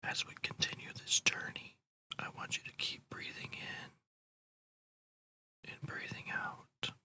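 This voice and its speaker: The voice is a fully whispered, breathy one with a bright, airy edge. It guides a meditation in ASMR style, moving slowly and deliberately. The delivery is soothing throughout.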